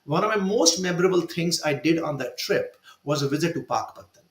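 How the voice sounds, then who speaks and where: A man's voice: on the high side, brisk, reverberant. A man from India, an author, telling personal stories with feeling during an online discussion. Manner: emotional, animated storyteller. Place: virtual event, video call. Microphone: video call.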